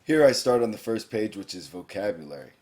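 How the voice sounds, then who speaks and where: Deep-voiced man narrating a screencast. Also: very animated, fairly quick, darkish tone. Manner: plain explanatory, reading quiz questions aloud. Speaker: teacher.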